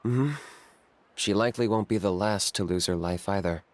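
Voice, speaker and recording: A man as a low-voiced, coolly blunt game character. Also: lightly acted. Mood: composed, serious. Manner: matter-of-fact, unhurried, dry. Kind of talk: video game character acting.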